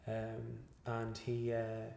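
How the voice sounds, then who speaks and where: A man with a lowish, flat-toned voice answers interview questions as a researcher. He talks in a measured, hesitant way, with frequent fillers and restarts. The recording sounds echoey, as if made in a bare room. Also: creaky. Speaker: guest researcher. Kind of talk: interview after a lecture.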